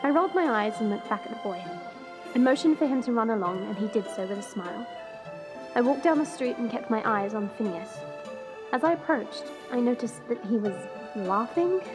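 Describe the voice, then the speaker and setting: A woman's voice: on the high side, lively intonation, a little fast, over music, lightly acted, low-quality recording. A woman playing a proud, sardonic young dragon woman who narrates an audio drama in a chatty, confiding way. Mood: wry confiding. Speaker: narrator and lead character.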